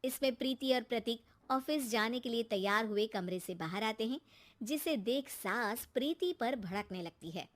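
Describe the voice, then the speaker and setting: A woman storyteller reads scripted cartoon narration. Her voice sits very high for a woman. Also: animated, moderate pace.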